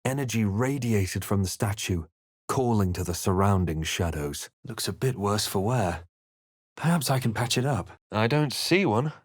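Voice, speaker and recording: A man playing a composed, wry adventurer hero in a video game, telling events in a measured, literary way. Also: on the low side, lively intonation, no echo, clean studio sound. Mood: composed, narrating. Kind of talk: scripted video game narration and battle lines.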